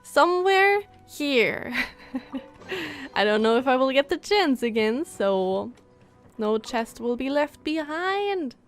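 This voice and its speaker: A woman's voice: sits high, very animated, takes it slowly, soft background music. A woman doing casual live game commentary, chatty and musing aloud as she reacts to the story. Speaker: game streamer.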